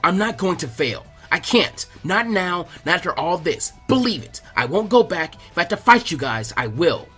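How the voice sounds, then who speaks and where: An excitable young ninja boy from an anime fan story, as voiced by a male reader. He is stubborn and anxious, with an animated delivery. Music plays behind him. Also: high voice, moderate pace, few pauses, exaggerated voice. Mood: defiant. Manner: animated, harsh urgent whispering when anxious. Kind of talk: animated fan fiction character reading.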